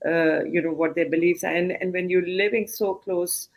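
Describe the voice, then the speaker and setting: Woman from Canada, executive panelist; slightly low, halting, filler-heavy delivery. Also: fairly even intonation. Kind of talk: panel discussion.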